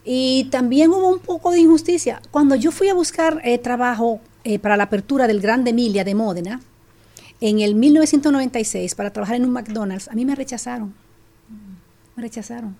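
A middle-aged Dominican woman with a Dominican Spanish accent, telling her story as a guest in an animated, casual way with frequent restarts. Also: a little high, fairly quick. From Dominican Republic. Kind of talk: casual chat.

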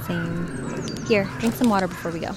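A woman's voice: fairly low pitch, normal speed, music in the background, close to an everyday voice. A young woman playing a stubborn, nature-loving hike leader in an audio drama, casual, wry and insistent. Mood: easygoing.

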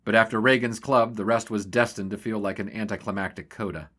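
An actor playing a plucky, wry and wordy newspaper sportswriter from the 1920s in a radio drama. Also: male voice, lowish voice, fairly even intonation, fairly quick, a bit bright, slightly rough. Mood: reflective. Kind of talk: radio drama acting with first-person narration.

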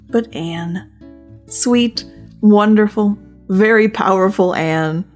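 A woman hosting a podcast with scripted but conversational narration and a playful, dry sense of humor. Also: medium pitch. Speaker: podcast host.

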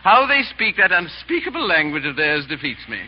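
Foppish, high-voiced English aristocrat. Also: male voice, very animated, brisk. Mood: affected, jovial. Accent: upper-class English. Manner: affected, dithering, drawling, exclaiming. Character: foppish, vain English aristocrat husband playing the silly dandy. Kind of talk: 1930s-40s radio acting. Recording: old muffled radio broadcast with hiss.

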